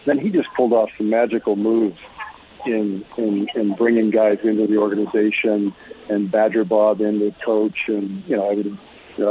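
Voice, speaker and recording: Husky man on a dull, lo-fi line. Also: on the low side. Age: middle-aged or older. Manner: halting, thoughtful, repeats words. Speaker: interview guest, former hockey player. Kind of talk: casual reflective sports talk. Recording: muffled, lo-fi call audio.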